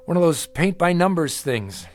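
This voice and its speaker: An actor in a radio drama playing a haunted, sleepless patient who talks in a halting, edgy, confiding way, afraid of his own imagination. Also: a little high, animated, a little hiss or hum, close to an everyday voice. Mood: uneasy, intent. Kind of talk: audio drama acting.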